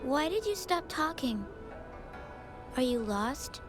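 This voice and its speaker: Timid little girl, high voice, guarded, hesitant, game acting, clear background music. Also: very animated, slow pace, heavily acted. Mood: curious, hesitant.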